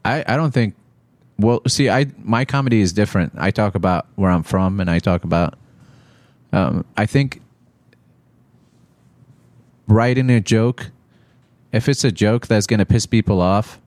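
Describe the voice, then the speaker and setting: A man from Iraq talking casually as a comedian guest on a podcast, halting and informal, with some swearing. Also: lowish voice, a little mellow, dry recording.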